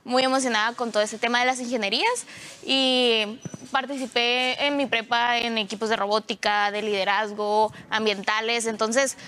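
Young woman, fairly high voice. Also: fairly bright tone, slight background noise. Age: 20s. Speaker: student ambassador, interview guest. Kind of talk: live TV interview. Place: indoor television studio.

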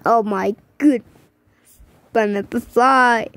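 A woman giving excited, exclaiming commentary while playing a mobile bowling game. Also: on the high side, very slow. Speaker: gamer.

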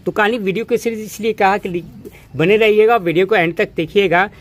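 Middle-aged male gardening host, high-set voice, rambling stop-start delivery, outdoor phone recording. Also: a little fast. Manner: explains step by step, pointing at pots and bulbs; repeats phrases, many restarts and filler words. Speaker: home gardening channel host. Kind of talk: hands-on gardening demonstration. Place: rooftop garden among potted flowers, outdoors. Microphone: camera phone held close, narrator off screen.

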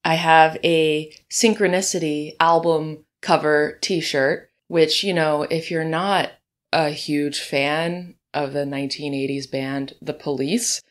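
Hoarse-voiced woman chatting through a podcast. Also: deep voice. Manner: chatty, conversational, with asides. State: hoarse and deeper in the morning. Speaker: podcast host. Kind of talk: solo podcast monologue. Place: home room, birds outside.

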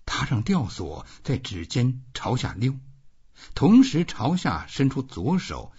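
A man with a husky voice reading literary fiction as an audiobook, with dramatic, emphatic phrasing. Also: medium pitch, big pitch swings, slow, a little mellow, dull audio. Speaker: audiobook narrator. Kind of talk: expressive scripted literary narration.

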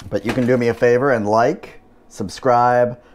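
A man's voice: medium pitch, fairly even intonation, moderate pace, slight background noise. A man hosting a home cooking show, talking through each step in a casual way with dry, wry asides.

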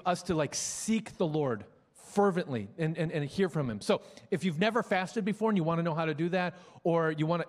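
A man in his forties with a noticeably high voice addresses his congregation from a church stage. He speaks casually into a handheld microphone, often restarting and repeating words. His voice rings out with a long hall reverb. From Minnesota. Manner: conversational, many restarts and stammered repeats. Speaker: pastor. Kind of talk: church announcements and guest introduction. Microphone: handheld mic.

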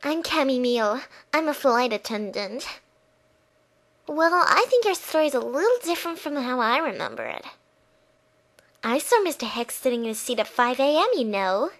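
Woman playing a bright, officious young flight attendant. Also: high voice, lively intonation, normal speed. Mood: smug, assured. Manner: polite, smug, matter-of-fact.

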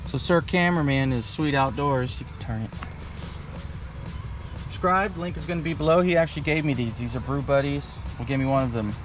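A man in his thirties giving a relaxed, chatty step-by-step demonstration outdoors at a campsite under a canopy tent. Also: medium pitch, a little fast. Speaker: vlogger. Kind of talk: casual how-to demo. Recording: background music under voice. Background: music.

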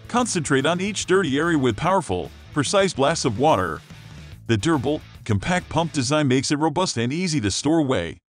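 A man reading a scripted product review voice-over in an upbeat sales-pitch manner. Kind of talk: scripted promotional voice-over narration.

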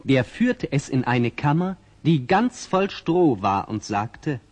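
A man's voice: medium pitch, big pitch swings, takes it slowly, a little mellow. A man narrating a fairy tale in the scripted storytelling style of a 1960s audio play. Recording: long reverb on old record.

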